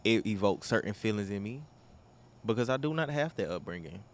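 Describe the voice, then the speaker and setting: Young man, low voice, earnest casual talker, light background noise. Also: animated, brisk. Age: young adult. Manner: earnest, emphatic, informal. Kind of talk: casual chat.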